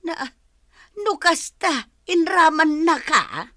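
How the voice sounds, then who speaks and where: A woman's voice: very high-pitched, big pitch swings, slow pace, bright, forceful tone, dry recording, clean studio sound, exaggerated voice. A woman playing a poor, humble mother who is behind on her debts. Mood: gossiping. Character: poor middle-aged mother in debt, humble.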